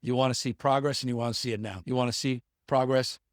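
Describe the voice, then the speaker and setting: A man's voice: mid-range voice, fairly even intonation, fast, dry recording, clean studio sound. A man speaking in a public speech clip, repeating phrases in a rally style.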